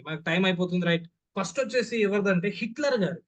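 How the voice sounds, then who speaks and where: Quick, high-voiced man running an online class. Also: animated. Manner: keeps quizzing the audience, repeats questions. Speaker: voice modulation trainer. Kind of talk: interactive online class talk.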